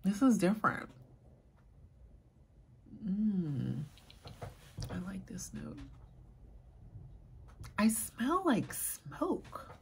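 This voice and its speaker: A soft-spoken woman chatting casually as a vlogger, murmuring her thoughts quietly as she talks. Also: mid-range voice, big pitch swings, very slow, vocal fry, pauses often, slight background noise. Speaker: fragrance vlogger. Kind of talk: casual chat.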